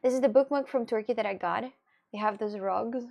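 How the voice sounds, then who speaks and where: Young streamer, woman, slightly high voice, halting chatty English with lots of fillers and laughs. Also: creaky, a little mellow. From Russia. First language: Russian. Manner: hesitant, halting English with many fillers and giggles. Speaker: livestreamer. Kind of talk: casual live-stream chat with viewers.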